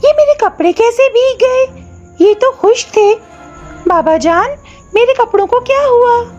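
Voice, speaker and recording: A woman's voice: very high voice, animated, measured pace, a little mellow, muffled recording, soft background music, voice pushed up. A woman voicing a sweet, innocent little princess in a cartoon story, with a childlike, respectful manner. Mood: puzzled. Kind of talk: Urdu cartoon storybook dialogue.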